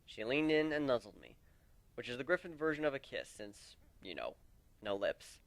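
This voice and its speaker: A man reads a fantasy story aloud as its narrator, with a very animated pitch. His delivery is conversational and dramatic, recorded close and dry. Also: moderate pace, vocal fry, dry recording. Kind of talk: dramatic first-person story reading.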